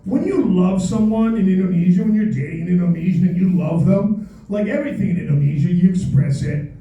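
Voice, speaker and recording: An American man with a very high, mellow voice performing stand-up in a club. He is heard from a distance in an echoey room, and his delivery is playful and mock-exasperated. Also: a little fast, dull audio. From United States. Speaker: stand-up comedian. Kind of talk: stand-up comedy set. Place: comedy club stage with live audience. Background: audience laughter.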